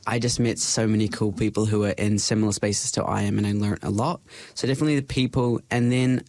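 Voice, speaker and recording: A young man with a New Zealand accent, a podcast guest talking in a relaxed, thoughtful way with frequent fillers. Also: on the low side, fast talker, dry recording. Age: young adult. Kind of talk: casual interview chat.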